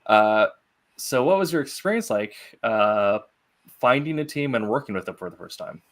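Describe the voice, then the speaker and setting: A man hosting a casual interview, asking questions in a halting way as he searches for words. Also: mid-range voice, expressive. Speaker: interview host.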